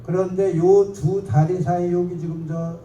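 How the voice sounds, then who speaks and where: A man's voice: sits high, little pitch movement, unhurried, warm tone. A man giving a local history lecture in a chatty, digressive, self-mocking way, pointing at slides as he goes. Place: lecture hall. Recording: echoey hall from far off, faint noise.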